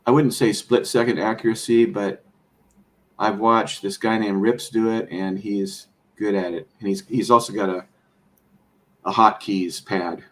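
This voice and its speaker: A man talking casually about trading, rambling and explaining with many fillers and restarts, a bit hoarse as he is losing his voice. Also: lowish voice, steady tone, unhurried. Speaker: trader. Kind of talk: casual conversation.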